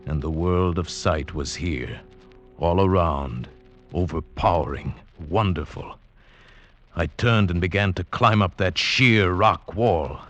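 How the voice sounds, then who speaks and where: An actor playing an anguished, awestruck mountaineer, telling his ordeal in a poetic, measured, intense 1940s radio drama style. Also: low-pitched, fairly bright tone, full character voice. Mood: awed, weary. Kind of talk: 1940s radio drama acting, first-person narration. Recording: dull vintage radio recording with music. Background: dramatic orchestral score.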